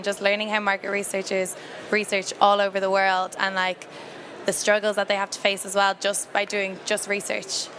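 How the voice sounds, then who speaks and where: A woman's voice: medium pitch, a bit bright. A young Irish woman, a student, speaks in a mid-range voice. She sounds bubbly and gushes about what she learned. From Dublin, Ireland. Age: young adult. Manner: bubbly, gushing.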